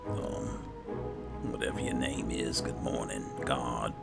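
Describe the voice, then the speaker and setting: A man narrates a game roleplay in a very deep voice thick with vocal fry. His delivery is casual and unhurried, in character throughout. Clear game music plays behind him. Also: steady tone. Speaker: gamer roleplaying a character in a playthrough. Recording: background music under the voice.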